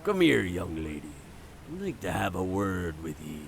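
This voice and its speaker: A man playing a gruff, superstitious older sea captain with a gravelly voice and commanding, salty sailor speech in a nautical audio drama. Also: sits low, sing-song, moderate pace, background noise, exaggerated voice. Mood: wry, probing. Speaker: ship captain.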